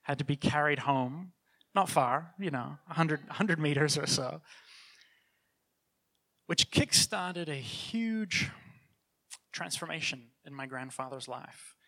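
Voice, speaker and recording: Middle-aged man, mild New Zealand accent, earnest church speaker, bright tone, casual restarts. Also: on the high side, takes it slowly, long pauses. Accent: New Zealand, softened by years in Canada. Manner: earnest, conversational, with frequent restarts. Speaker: children's ministry leader. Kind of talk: church talk with personal testimony.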